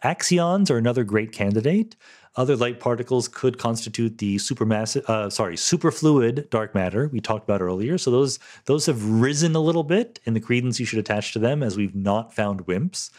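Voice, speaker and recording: A man's voice: mid-range voice, animated, a little fast, darkish tone. A middle-aged man hosting a podcast, answering listener questions in a thoughtful, explanatory solo monologue, often checking in with "right?".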